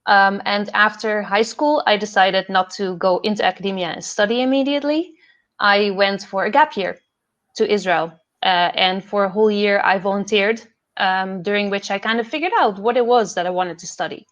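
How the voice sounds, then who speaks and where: A young woman from the Netherlands, a PhD fellow and podcast host, talking earnestly about her career path with many pauses and fillers over a video call. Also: mid-range voice, fairly bright tone, dull audio.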